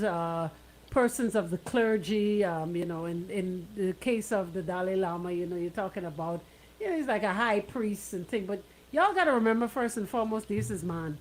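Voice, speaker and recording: A woman's voice: medium pitch, darkish tone, slight background noise, poor audio. A Bahamian woman hosting casual radio talk, emphatic, repeating her points and checking in with "right?". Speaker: radio host.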